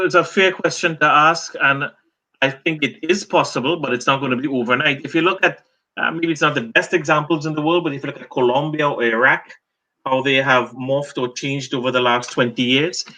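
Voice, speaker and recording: A middle-aged Trinidadian man, a journalist and author, answering interview questions as a lively storyteller. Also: medium pitch, brisk, rough recording. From Trinidad and Tobago.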